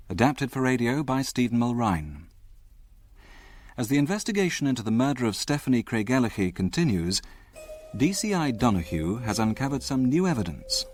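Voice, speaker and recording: A man reading the cast list and introduction for a radio drama in a measured, formal way. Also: medium pitch, sing-song, darkish tone, dry recording. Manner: measured, formal announcer's delivery. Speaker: announcer.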